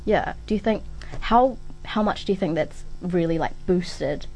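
A young woman hosting a casual student radio chat, relaxed and full of fillers. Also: lowish voice, a little slow, faint music behind, poor audio. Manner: relaxed, filler-heavy, laughs often. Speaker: radio host.

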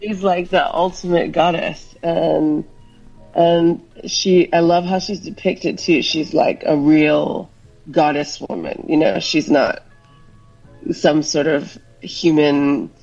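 A woman's voice: sits low, fairly even intonation, takes it slowly, vocal fry, a little mellow, slightly rough, lo-fi sound, faint music behind. A woman from Hawaii, a musician guest in a casual interview, talking reflectively and rambling with fillers.

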